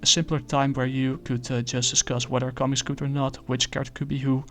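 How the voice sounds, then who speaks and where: A man casually reviewing a comic book, talking in a halting way with many fillers and restarts. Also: medium pitch, little pitch movement, fairly bright tone, faint music behind.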